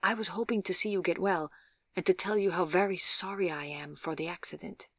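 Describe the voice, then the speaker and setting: A woman's voice: on the low side, fairly even intonation, brisk, no room sound, studio quality. A woman voicing an awkward, courteous, apologetic man in an audiobook, speaking with hesitant, formal politeness. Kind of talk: audiobook character voice.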